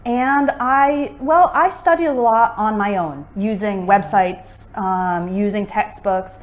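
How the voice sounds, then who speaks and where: A woman's voice: mid-range voice, slow pace. A woman, an English teacher chatting casually and explaining patiently. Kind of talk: casual chat.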